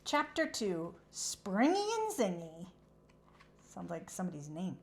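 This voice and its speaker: A woman who hosts children's read-alouds, narrating a storybook expressively in a measured, animated way. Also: fairly low pitch, gravelly.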